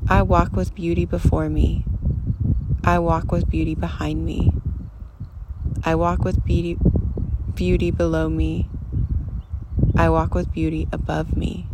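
A person leading a guided meditation in a gentle, soothing, unhurried manner, recorded live outdoors. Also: mid-range voice. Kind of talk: slow guided meditation and poem reading. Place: outdoors among hills and wildflowers. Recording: outdoor live recording, loud wind and nature noise. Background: wind, birds, nature sounds.

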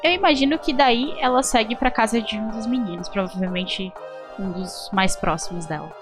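A woman playing a tabletop game, gently narrating her child character's morning in the third person. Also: a little high, moderate pace, music in the background. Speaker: tabletop player.

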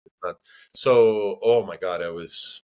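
A man with an American accent talks in a fairly low voice as a podcast guest. His speech is loose and meandering, full of restarts and filler phrases. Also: takes it slowly, darkish tone. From United States. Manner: rambling, full of "you know" and restarts. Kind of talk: casual conversation.

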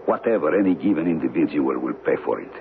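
Shady antiquities smuggler, very deep swooping voice, worldly and deliberate, old radio drama. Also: male voice, animated. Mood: scheming, persuasive. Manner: deliberate, worldly, formal phrasing with dramatic pauses. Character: shady, calculating male smuggler of stolen antiquities. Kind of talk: old-time radio mystery acting. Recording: muffled, noisy old radio broadcast recording.

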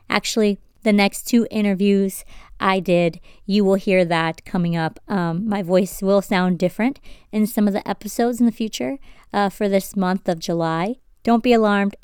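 Young woman podcast host, stuffy sinus voice, very clear and dry sound, earnest faith talk, asks listeners stuff. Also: medium pitch, fairly even intonation, moderate pace, a little mellow, no room sound. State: congested from sinus trouble.

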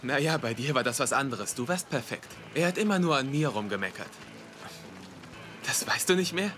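A man's voice: mid-range voice, expressive, normal speed, fairly bright tone, slight background noise, lightly acted. A man playing an earnest, brooding young investigator in a dubbed drama, talking in a casual, dry, skeptical way. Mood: bitter, sarcastic. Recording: music behind the dialogue.

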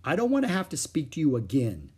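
A man's voice: a little high, wildly swooping pitch, fast, mellow, slightly rough, voice pushed up, full character voice. A man doing a stern scolding mother. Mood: puzzled. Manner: firm and scolding. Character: stern, practical mother of young children.

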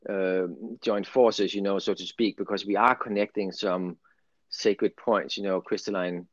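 A middle-aged man with a Danish accent and a fairly low voice speaks in a rambling, earnest, conversational way. The sound is dry and close, a little dull and lo-fi. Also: steady tone, measured pace, dry recording. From Denmark. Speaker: guest, spiritual channel. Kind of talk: casual spiritual conversation.

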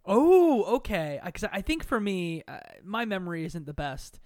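A man's voice: sits high, big pitch swings, normal speed, dark tone, no room sound, clean studio sound. A man guesting on a podcast, telling casual, self-deprecating personal anecdotes.

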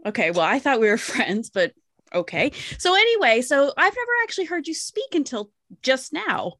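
Lively, teasing American woman host. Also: high-pitched, normal speed, no echo, clean studio sound. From United States. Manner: teasing, foul-mouthed, lively. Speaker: podcast host. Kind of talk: casual podcast chat.

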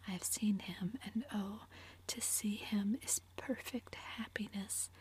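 A woman's voice: mid-range voice, fairly even intonation, slow, darkish tone, slightly rough, low-quality recording. A woman whispering the part of a young woman in love, eager and fond, in a storybook reading.

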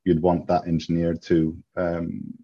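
A man from Greece answering as an interview guest, speaking in a halting, thoughtful, reflective way. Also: low voice, monotone. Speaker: interview guest, software engineer. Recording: dull, muffled lo-fi audio.

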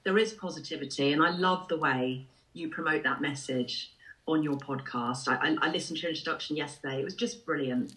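An English-accented woman in her forties who was born in Ireland, speaking as a podcast guest. Her delivery is expressive and lilting, and she talks in a reflective, conversational way. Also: low-pitched, fairly quick, low-quality recording.

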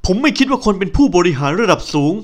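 A stern young man who firmly scolds a bully and mocks her, as a man plays him. His voice sits high and he talks fast. He sounds firm and biting in an audiobook romance. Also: sing-song. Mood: scolding.

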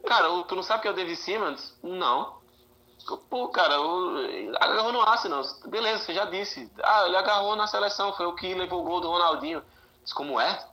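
A man's voice: high voice, fairly quick, pushed and bright, low-quality recording. A Brazilian man chatting casually, telling stories in a joking, slangy way and acting out the dialogue. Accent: Brazilian Portuguese. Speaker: podcast guest. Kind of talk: animated casual storytelling among friends.

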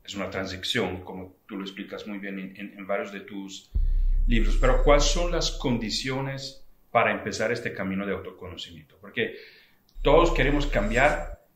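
A man hosting a podcast who asks measured interview questions and sometimes restarts his phrases as he goes. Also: lowish voice, a bit bright. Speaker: podcast host. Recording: echoey room with faint noise.

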